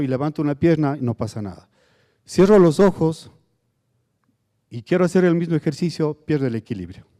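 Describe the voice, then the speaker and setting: Dark-voiced Ecuadorian man lecturing. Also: mid-range voice. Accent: Cuenca, Ecuador. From Azuay, southern Ecuador. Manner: halting, many "eh" fillers and restarts, asks "¿no es cierto?". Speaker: biomedical engineering lecturer. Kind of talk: conference lecture.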